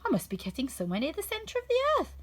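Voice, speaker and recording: Woman as a high-voiced, curious little girl. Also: wildly swooping pitch, very fast. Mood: musing. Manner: wondering, self-questioning. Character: curious, chatty young girl musing to herself.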